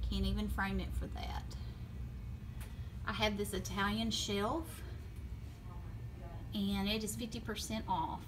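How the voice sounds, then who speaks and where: A woman's voice: medium pitch, recorded from a distance, background noise. A middle-aged woman chatting casually and gushing over items as she films a walk-through of her antique mall booth. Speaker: booth owner giving a shop tour. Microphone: phone camera held while walking. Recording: phone audio, voice drifting off-mic.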